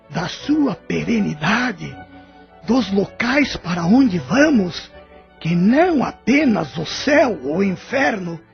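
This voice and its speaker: A Brazilian man playing the solemn, loving spirit of a dead father in an audio drama, speaking formally and with measured care. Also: high-pitched, gravelly, exaggerated voice. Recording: dull lo-fi audio with music underneath. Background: music.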